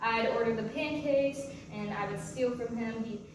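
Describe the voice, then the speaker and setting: An actress playing a troubled, guilt-ridden mother who has struggled with drinking, speaking haltingly in a staged play reading. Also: fairly high pitch, natural voice. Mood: wistful, nostalgic. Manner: halting, stammering delivery. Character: troubled recovering-alcoholic mother, hesitant, guilt-ridden, confused. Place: large echoey room, live staged reading. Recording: echoey hall from far off, noisy.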